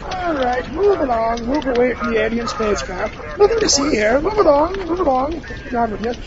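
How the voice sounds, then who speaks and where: A man's voice: extremely high pitch, lively intonation, moderate pace. A man playing a bossy, officious policeman shooing onlookers in a comedy radio play. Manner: repetitive, officious. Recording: dull distant audio with crowd.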